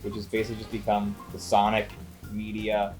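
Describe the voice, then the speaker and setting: A man's voice: lowish voice, monotone, brisk, a little mellow. Man chatting over background music. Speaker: co-host of a movie review show. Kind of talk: casual conversational film review.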